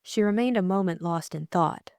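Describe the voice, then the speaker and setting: Female audiobook narrator, lowish and dry. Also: moderate pace, darkish tone, dry recording. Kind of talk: scripted storybook narration.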